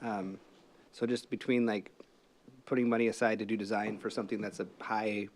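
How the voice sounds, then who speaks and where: A man's voice: lowish voice, flat delivery, normal speed, darkish tone. An American man, a city council member, asking questions informally and tentatively, circling around his point in a meeting.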